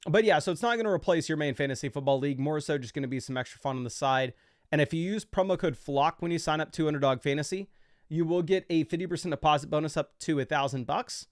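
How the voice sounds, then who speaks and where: A man's voice: a little high, no room sound, clean studio sound. A young man hosting a fantasy football livestream, talking loosely and casually with lots of fillers as he explains his picks.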